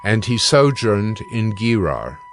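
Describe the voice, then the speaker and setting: A man narrating scripture from a script in a measured, solemn manner. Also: on the low side, very animated, faint music behind.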